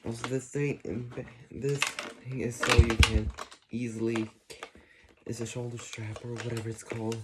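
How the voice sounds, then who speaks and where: A man's voice: medium pitch, little pitch movement. Man explaining casually over noticeable noise. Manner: halting, informal explaining. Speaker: host of a how-to video. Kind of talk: casual hands-on demonstration. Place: indoors. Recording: noisy room with clicks and handling sounds.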